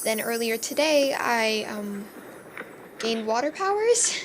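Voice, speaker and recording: Woman as a wry young fairy newcomer, high-pitched. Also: very animated, takes it slowly, a little hiss or hum, low-quality recording. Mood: unsure, skeptical. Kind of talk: amateur voiced roleplay acting.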